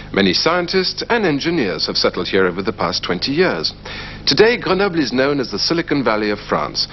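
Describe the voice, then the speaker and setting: A man presenting a language course in a clear, measured teaching voice. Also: fairly low pitch. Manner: clear, measured teaching delivery with lively intonation. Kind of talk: scripted educational narration. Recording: dull, slightly noisy TV soundtrack.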